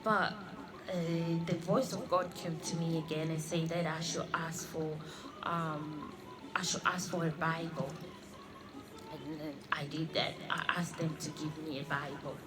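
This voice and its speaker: A woman in her thirties, born in Congo and raised in Australia, giving an earnest personal religious testimony and often halting to repeat her phrases. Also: low voice, fairly even intonation, over a noisy crowd, low-quality recording. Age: 30s. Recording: music playing under the voice. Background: clear background music.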